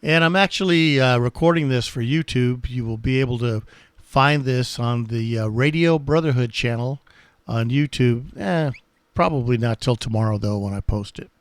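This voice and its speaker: Male radio hobbyist, friendly conversational operator, dry close sound, medium pitch. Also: normal speed, no echo. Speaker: amateur radio operator and channel host. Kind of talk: casual ham radio contact chat.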